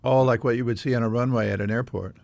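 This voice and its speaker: A man's voice: mid-range voice, fairly even intonation, fast talker, vocal fry, darkish tone. An American man hosting a casual interview, speaking in a curious, conversational way. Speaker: host.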